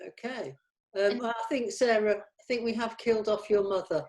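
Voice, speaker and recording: A woman's voice: mid-range voice, moderate pace, a little mellow. A woman talking casually and informally during a rehearsal.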